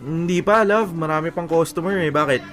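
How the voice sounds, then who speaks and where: A man's voice: a little high, a little fast, fairly bright tone, faint music behind, close to an everyday voice. A man acting an evasive, apologetic young boyfriend in a Filipino audio drama, speaking casually and hesitantly.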